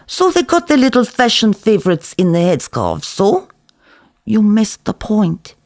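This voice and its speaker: Guy doing a pushy kebab shop man in an audiobook, world-weary, preachy, voice pitched up. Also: big pitch swings, measured pace, no echo, clean studio sound, exaggerated voice. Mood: lecturing. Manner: emphatic, lecturing, cajoling.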